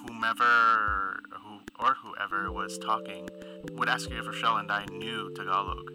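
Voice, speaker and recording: Guy hosting a radio doc, lowish voice, thoughtful narration with music under it. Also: steady tone, rough recording. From United States. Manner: reflective, measured storytelling. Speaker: radio show host. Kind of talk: scripted radio narration and interviewing.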